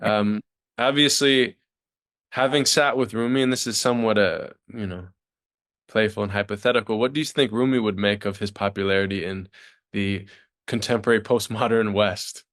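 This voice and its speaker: A man's voice: fairly low pitch, steady tone, normal speed, fairly bright tone. A man interviewing a guest, putting thoughtful questions in a tentative, wordy way.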